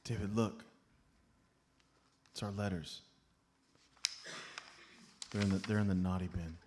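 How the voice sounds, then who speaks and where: A man playing an earnest, hesitant amateur detective with a mock-serious, deliberate delivery in a live comedic radio play. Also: low-pitched, fairly even intonation, darkish tone, slight background noise. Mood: dismayed. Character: Terry, earnest, hesitant, self-important amateur detective sidekick. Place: comedy club stage before a live audience.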